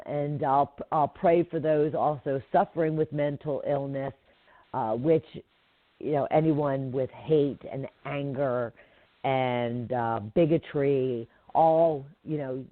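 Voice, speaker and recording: A woman hosts a casual talk radio show, her voice deeper than usual and stuffy from allergies. She rambles warmly through fillers and asides, with an occasional hack. The sound is dry and thin, like a phone line. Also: dry recording. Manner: chatty, rambling, many fillers. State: congested with allergies, coughing. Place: home in Atlanta.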